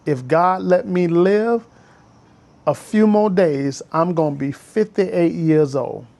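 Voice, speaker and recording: Slow, gravelly man in his fifties. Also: on the high side, warm tone. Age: 50s. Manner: preachy, repetitive, emphatic. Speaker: vlogger and school bus driver trainer. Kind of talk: casual testimony vlog. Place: on his porch outdoors.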